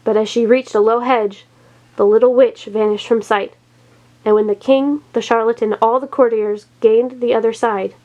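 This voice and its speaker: A woman's voice: on the high side, steady tone, normal speed, warm tone, highs cut off. A woman storyteller reading a children's tale aloud.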